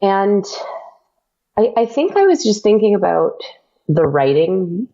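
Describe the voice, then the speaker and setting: A woman being interviewed about her podcast, talking hesitantly with many pauses. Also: medium pitch, very animated, mellow, muffled recording. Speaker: guest, audio drama podcaster. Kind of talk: casual interview chat.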